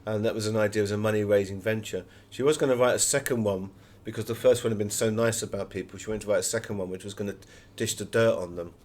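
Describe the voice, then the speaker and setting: A man's voice: lowish voice, steady tone, fast, few pauses, a little mellow. A British man speaking as an interviewed author, telling anecdotes in a wry, chatty and emphatic way.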